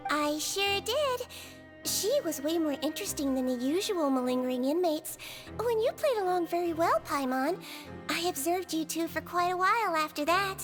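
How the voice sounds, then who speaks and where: A sweet, very high melusine nurse. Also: female voice, expressive, moderate pace, music in the background. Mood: teasing. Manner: soft, motherly, gently teasing. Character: small gentle female melusine nurse, kindly and teasing. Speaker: prison nurse.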